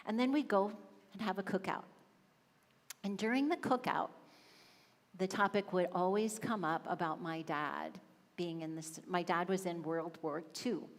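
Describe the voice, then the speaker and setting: A woman's voice: on the low side, moderate pace. A woman giving a conversational sermon as a spiritual practitioner, telling family stories. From Swedish and Lithuanian family. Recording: hall reverb.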